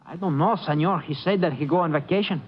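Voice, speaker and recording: An actor playing a nervous, evasive Mexican hired hand in an old radio drama, stammering in broken English with a Mexican accent. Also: male voice, a little high, quick pace, lightly acted. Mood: evasive, pleading. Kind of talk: 1950s radio drama acting. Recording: noisy vintage radio recording.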